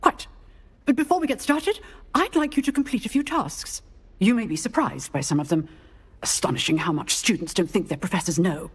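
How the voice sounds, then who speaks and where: An actress playing a strict female magic professor with a crisp, authoritative manner. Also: medium pitch, animated, brisk, long reverb tail. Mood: brisk. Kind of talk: game cutscene acting.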